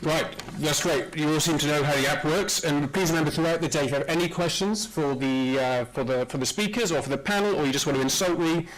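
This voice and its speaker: A man's voice: a little high, fast talker, hardly any pauses, fairly bright tone, a little hiss or hum, low-quality recording. A man hosting a conference in an informal, hesitant and jokey way. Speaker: conference host introducing speakers.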